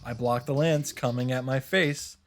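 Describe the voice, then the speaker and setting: A man reading a first-person story aloud in a theatrical, halting way, with dramatic emphasis. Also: mid-range voice, steady tone, faint music behind. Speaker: streamer reading a visual novel aloud.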